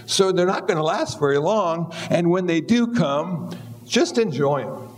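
A man's voice: a little high, expressive, a little slow. A man in his seventies giving a humorous Buddhist dharma talk, easygoing and wry. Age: 70s. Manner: easygoing, wry, acts out little voices. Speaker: Buddhist teacher.

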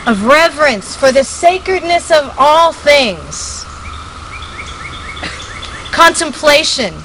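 Woman w high bright voice reading solemnly, slow w pauses, outside with birds. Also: sing-song, poor audio. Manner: measured, short phrases with long pauses. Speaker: committee member reading a statement. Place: outdoors at a rural hermitage. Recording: outdoor recording with birdsong. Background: birdsong, faint ambient noise.

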